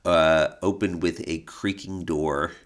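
A man's voice: deep voice, steady tone, takes it slowly. A man hosting an informal podcast, explaining history in detail with an enthusiastic, knowledgeable manner. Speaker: podcast host and expert guide.

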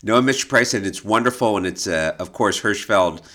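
A man co-hosting an informative podcast conversation, explaining things in a measured way with hesitant pauses. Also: on the low side, bright, forceful tone. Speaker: podcast co-host, creative director of an arts foundation.

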